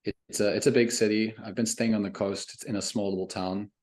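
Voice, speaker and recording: A young man from South Africa with a mixed South African and Canadian accent, telling his story as a podcast guest in a relaxed, laid-back way. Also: on the low side, brisk. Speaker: guest, email marketing coach. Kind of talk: casual interview chat.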